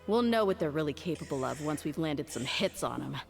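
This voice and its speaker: A woman's voice: deep voice, expressive, brisk, vocal fry, faint music behind. A woman playing a tough, confident mercenary fighter in a video game, brash and self-assured. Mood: confident, dismissive. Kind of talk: video game character acting.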